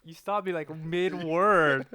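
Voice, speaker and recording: A man's voice: high-pitched, slow, sharp tone. A man co-hosting a show, chatting casually and playfully, often repeating himself, with other voices behind him. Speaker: video game show co-host. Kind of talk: casual chat and banter.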